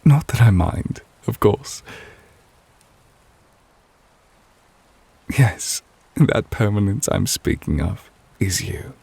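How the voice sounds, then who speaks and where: A man playing a devoted, tender but possessive lover in a romantic ASMR roleplay, speaking softly and intimately in a measured, wistful way, close to the microphone. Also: on the low side, full character voice. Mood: thoughtful, loving. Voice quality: soft, breathy, husky. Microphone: close, dry microphone.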